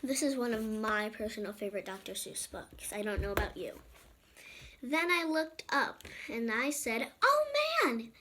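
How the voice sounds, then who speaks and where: High-voiced young girl reading a storybook. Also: normal speed, background noise. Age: child. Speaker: storybook reader and channel presenter. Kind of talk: reading a picture book aloud with informal asides to young viewers.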